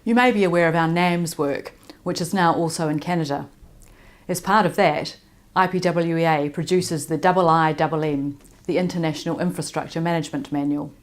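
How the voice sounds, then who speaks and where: A woman with a New Zealand accent delivers a prepared conference talk from a distance. Her voice sits low, and she paces herself slowly and deliberately. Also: fairly even intonation. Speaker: conference speaker. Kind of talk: prepared presentation read by remote video. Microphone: recorded remotely, not in person.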